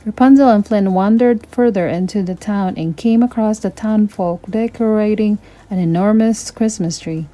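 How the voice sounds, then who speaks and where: Mellow-voiced woman reading a storybook. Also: mid-range voice, normal speed, few pauses, rough recording. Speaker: storytime read-aloud host. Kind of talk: children's storybook narration.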